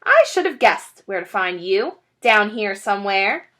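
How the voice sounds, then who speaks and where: A woman's voice: mid-range voice, big pitch swings, a little slow, fairly bright tone. A woman voicing a confident, sly father fox in a children's storybook, suave and bossy. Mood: sly, threatening. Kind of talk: children's storybook character reading.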